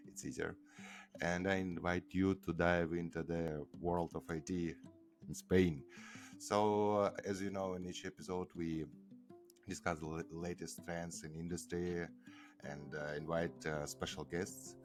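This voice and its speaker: A man's voice: low-pitched, little pitch movement, a little slow, soft background music. A man with a Russian accent hosting a podcast, introducing his guest hesitantly with many fillers. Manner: hesitant, many fillers, non-native phrasing. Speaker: podcast host.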